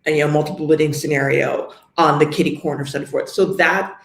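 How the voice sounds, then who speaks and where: A middle-aged woman from New York, a real estate agent, speaking as a guest in an interview with a measured, understated manner. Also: sits low, steady tone, creaky, reverberant. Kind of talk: interview conversation.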